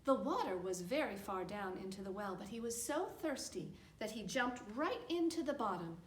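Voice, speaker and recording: A woman's voice: mid-range voice, lively intonation, moderate pace, a little hiss or hum. A woman telling stories expressively and simply, as a puppeteer for young children. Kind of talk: storytelling for a children's puppet show. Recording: echoey room.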